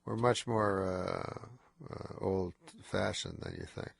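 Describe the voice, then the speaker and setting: A tired, stuffed-up man with a nasal voice, speaking as a guest in a casual radio interview in a slurred, low-energy, deadpan way. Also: takes it slowly, creaky, husky, dry recording.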